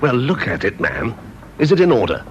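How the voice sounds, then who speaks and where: A man's voice: medium pitch, huge pitch swings, normal speed. An actor playing a stern, proud male government official in a radio drama, clipped and officious. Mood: curt, officious. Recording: muffled, noisy radio drama recording.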